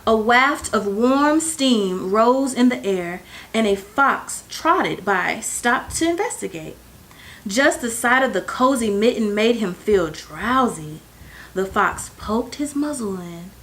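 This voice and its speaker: Woman, children's read-aloud, slow pace, animated intonation, deliberate expressive phrasing, bedtime storyteller. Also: a little high, low-quality recording. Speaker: storyteller reading a picture book aloud.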